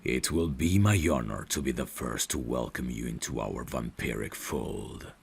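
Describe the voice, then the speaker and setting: A man playing a devoted, courteous vampire butler in an ASMR audio drama, speaking formally and gently in a hushed, gravelly voice that slips toward whispers. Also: extremely low pitch, exaggerated voice. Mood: adoring. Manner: slow, formal, gentle, deferential.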